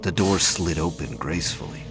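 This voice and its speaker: An extremely deep-voiced man narrates a scripted science fiction tale. His delivery is grave and measured, yet the pitch moves in lively sweeps. Music plays clearly behind him. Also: low-quality recording.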